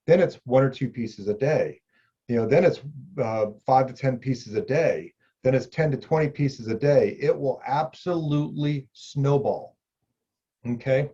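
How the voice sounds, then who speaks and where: A man's voice: mid-range voice, a little slow, dark tone, breathy edge, lo-fi sound. A middle-aged man, an insurance agent, explaining benefits to the viewer in a conversational way, repeating key points. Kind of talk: explainer video talk.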